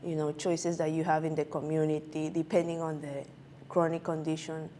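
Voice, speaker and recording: A woman from Peru, answering interview questions as a guest physician in a halting way with many filler sounds. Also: low-pitched, level tone, mellow, slight background noise.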